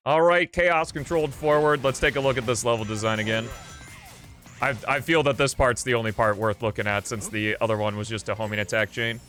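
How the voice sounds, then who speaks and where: A man commentates on a video game for his livestream in a medium-pitched voice. He talks informally and loosely, thinking aloud as he plays. Game music runs behind his voice. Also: steady tone, brisk, a bit bright. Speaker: livestream host. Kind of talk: casual gameplay commentary.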